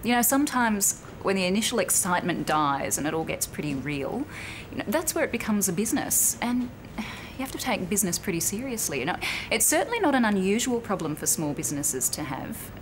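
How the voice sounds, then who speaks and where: A woman playing a composed, firm but sympathetic bank officer in a naturalistic training drama, speaking in a measured, explanatory way. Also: medium pitch, big pitch swings, close to an everyday voice. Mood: measured, reflective. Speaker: actor in a corporate training drama. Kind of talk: naturalistic acted workplace dialogue. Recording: clearly noisy location sound with room ambience.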